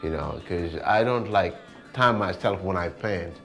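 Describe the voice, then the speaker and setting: A man's voice: low-pitched, a little slow, fairly bright tone, soft background music. A man with a Senegalese accent talking informally in an interview as an artist, often repeating words and phrases. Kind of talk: casual interview talk.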